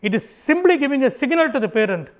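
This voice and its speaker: A man's voice: extremely high pitch, rapid-fire. A man from Kerala with an Indian English accent, giving a conversational public talk to an audience. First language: Tamil. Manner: animated, acts out family dialogue in Tamil. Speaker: speaker giving a motivational talk. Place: auditorium.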